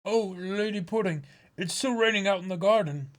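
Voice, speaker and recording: Officious noble retainer, played by a man, slightly high male voice, formal and fussy, for manga read-aloud. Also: normal speed, lightly acted. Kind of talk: dramatic comic-book reading.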